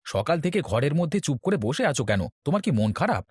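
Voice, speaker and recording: A man's voice: on the high side, very animated, fast, a little mellow, slightly rough, no echo, clean studio sound. A man voicing a considerate young newlywed husband in a cartoon, coaxing and reassuring. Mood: concerned. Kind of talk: cartoon dialogue. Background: background music.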